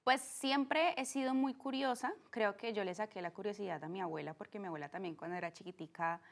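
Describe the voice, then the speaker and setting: Young cali woman on a podcast, warm and earnest, lots of "eh", middle pitch. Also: a little fast. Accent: Colombian, from Cali. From Colombia. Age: 20s. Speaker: guest, art director. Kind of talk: casual interview.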